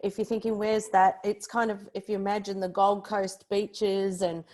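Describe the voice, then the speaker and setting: Australian female, 40s, conversational podcast guest, chatty and informal, mid-range pitch. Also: little pitch movement, normal speed, darkish tone. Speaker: podcast guest, personal branding expert. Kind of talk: conversational interview, recounting own career.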